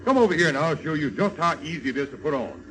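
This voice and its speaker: An actor playing a bossy, smooth-talking medicine show kidnapper in a coaxing showman's manner, in 1930s radio serial style. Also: fairly high pitch, animated, fast talker, a bit bright, slight background noise, low-quality recording. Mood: jovial, scheming. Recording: old radio serial recording.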